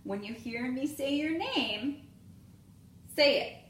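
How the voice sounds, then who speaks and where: Woman as a patient schoolteacher, slow and high. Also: expressive. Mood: instructing. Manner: drawn-out, instructive. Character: patient, cheerful female primary school teacher. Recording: roomy echo, clear background noise.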